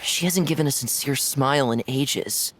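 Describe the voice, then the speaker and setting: A woman's voice: extremely low pitch, normal speed, faint music behind. A woman voicing a devoted, hot-tempered young male imaginary-friend creature in a video game, earnest and emotive. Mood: sad. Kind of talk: animated video game dialogue.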